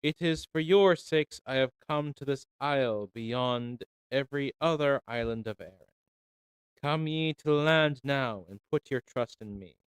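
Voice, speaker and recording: Kind old saint greeting folks, read by a man, smooth clear voice, dry sound. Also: mid-range voice, measured pace, no echo, close to an everyday voice. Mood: kindly. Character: gentle old male saint, welcoming and pious.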